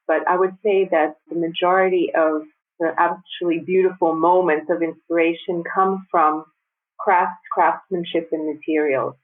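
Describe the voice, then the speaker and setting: Woman on a tinny remote line. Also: on the low side. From United States. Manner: measured, thoughtful, laughs lightly. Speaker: guest designer and architect. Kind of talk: conversational interview.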